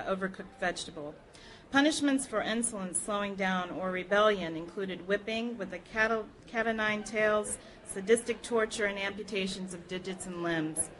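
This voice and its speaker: A woman of medium pitch reads nonfiction aloud to a formal standard. Her delivery is earnest and measured, as at a public reading of history. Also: fairly bright tone, rough recording. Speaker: reader at a public library program.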